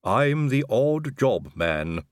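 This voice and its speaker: A man doing a slow, eerie butler. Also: medium pitch, big pitch swings, no echo, studio quality, voice pushed up. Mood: calm polite. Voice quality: slow, unhurried, oddly polite. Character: eerie calm male manservant, stitched together. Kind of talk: audiobook character voice.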